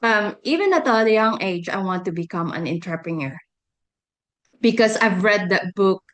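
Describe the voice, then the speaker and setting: A middle-aged woman talks about her own life as a guest on an interview show. She has a Filipino accent and an average-pitched voice. Her delivery is conversational, like someone telling a personal story. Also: lively intonation, moderate pace. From Philippines. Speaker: podcast guest, energy healer and life coach.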